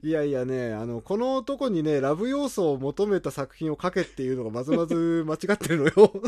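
A man's voice: a little high, very animated, brisk, mellow, no echo, studio quality. A man chatting casually as a podcast host, talkative and emphatic, readily repeating himself in agreement. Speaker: podcast host and radio drama writer. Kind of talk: casual chat.

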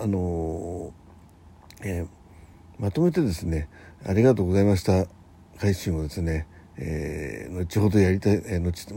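A man with a low, very mellow and dark voice hosts a relaxed solo morning radio chat. His delivery is polite and halting, with hesitant pauses as he gathers his thoughts. The tone is husky, without any sharp edge. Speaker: host of a morning audio show. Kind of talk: casual morning monologue, thanking listeners.

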